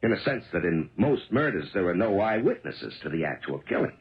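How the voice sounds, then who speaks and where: Gravelly, wildly expressive American detective husband. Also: male voice, deep voice, a little fast. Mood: defensive, exasperated. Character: plodding, dogged male police homicide detective and husband. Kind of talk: 1970s American radio drama acting. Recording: muffled old radio broadcast.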